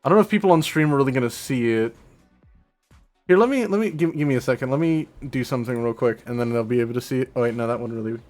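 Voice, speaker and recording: A man's voice: mid-range voice, fast, a little mellow, soft background music. Laid-back stammering male streamer. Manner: stammering restarts, laid-back, swears casually. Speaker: streamer host. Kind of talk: casual live-stream chat.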